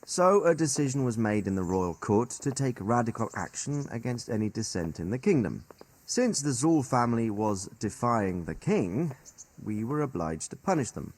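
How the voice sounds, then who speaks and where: Formal seasoned nobleman, expressive delivery. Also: mid-range voice. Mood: grave, recounting. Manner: formal, deliberate, articulate. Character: stern, seasoned noble royal commander, measured and authoritative. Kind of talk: dramatic video game cutscene dialogue.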